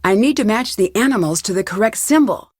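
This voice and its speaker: A young woman detective from an adventure game, recorded very dry and close. She talks to herself in clear, practical, curious remarks, with a medium pitch and steady determination. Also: brisk, no echo. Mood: determined. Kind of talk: scripted adventure game dialogue, thinking aloud.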